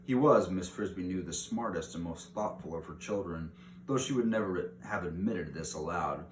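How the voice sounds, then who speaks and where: A man's voice: sits low, moderate pace, distant mic. A man reading a children's book aloud as narrator. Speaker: story reader. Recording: roomy echo, clear background hiss.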